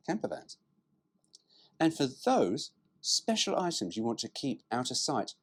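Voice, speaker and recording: A man's voice: medium pitch, darkish tone. A man reading a brochure aloud as a presenter, dropping in cheeky, irreverent, sweary asides.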